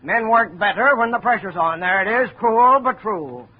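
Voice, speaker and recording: A smug, harsh mill boss. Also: male voice, high voice, animated, normal speed, slightly rough. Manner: condescending, lecturing. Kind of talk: 1950 radio drama acting. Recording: muffled 1950 radio broadcast, faint hiss.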